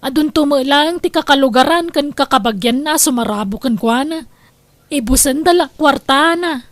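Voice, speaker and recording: A woman's voice: sits high, moderate pace, no echo, lightly acted. A woman playing a pushy, blunt grown woman in an audio drama. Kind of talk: radio drama acting.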